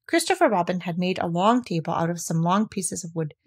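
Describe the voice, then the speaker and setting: A woman reading a children's storybook aloud, with expressive, varied delivery. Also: lowish voice, fairly quick, slightly rough. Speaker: reader of children's books. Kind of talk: scripted storybook read-aloud narration.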